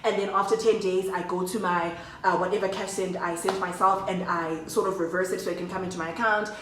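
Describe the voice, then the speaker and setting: A woman's voice: lowish voice, fairly even intonation, brisk, reverberant, distant mic, background noise. A young woman telling a story in a chatty, slangy way, talking straight to her viewers. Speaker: vlogger. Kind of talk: casual storytime chat to camera.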